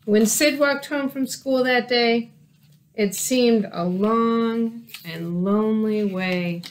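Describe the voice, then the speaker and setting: A woman reads a picture book aloud for children. Her voice is high, and she takes her time, telling the story carefully and expressively. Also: slow, a little hiss or hum, poor audio. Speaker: storybook reader. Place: small room indoors by windows.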